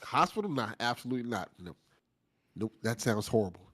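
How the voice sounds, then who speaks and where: A man's voice: mid-range voice, sing-song, fairly quick, fairly bright tone. A man hosting a casual interview, speaking in an emphatic, slangy, teasing way. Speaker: host. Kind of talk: casual, joking interview chat.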